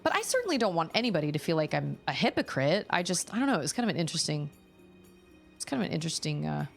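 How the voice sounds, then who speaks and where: A woman streamer chatting casually at home while playing a game live, thinking aloud and restarting her sentences often. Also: medium pitch. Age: adult parent.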